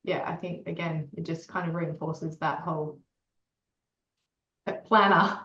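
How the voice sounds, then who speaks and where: A woman's voice: low voice, fairly even intonation, measured pace, sharp tone. A woman co-founder and former marketer, talking reflectively with many fillers in a casual interview over a video call. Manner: hesitant, filler-heavy, reflective. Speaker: co-founder of an AI creative tool, ex-marketer. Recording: roomy, dull lo-fi call audio.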